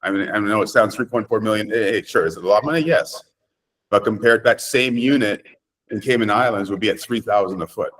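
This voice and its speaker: A man's voice: lowish voice, brisk, fairly bright tone, poor audio. A middle-aged man from Canada giving conversational interview answers as a property developer, emphatic and speaking in short declarative sentences.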